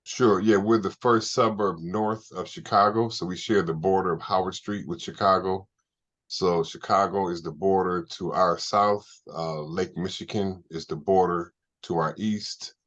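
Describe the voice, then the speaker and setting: A man's voice: sits low, fairly even intonation, unhurried, a bit bright, lo-fi sound. A middle-aged American man giving conversational, explanatory answers as an interview guest. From Evanston, Illinois, United States.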